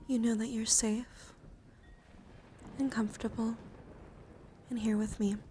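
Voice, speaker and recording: A woman livestreamer giving a guided ASMR relaxation in a gentle, soothing, encouraging manner. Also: fairly high pitch, level tone, a little slow, a little hiss or hum. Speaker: livestream host.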